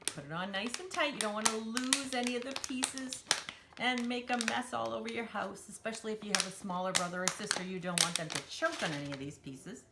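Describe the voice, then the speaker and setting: A woman's voice: mid-range voice, fairly quick, heavy background noise. A woman hosting a children's storytime, talking simply and encouragingly to young children as she demonstrates a craft. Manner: refers to herself in third person.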